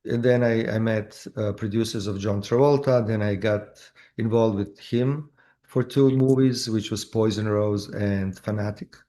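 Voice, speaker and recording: A man with a Croatian accent, an actor telling his story in a casual interview, halting with many fillers and restarts. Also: fairly low pitch, steady tone, dark tone. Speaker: actor guest.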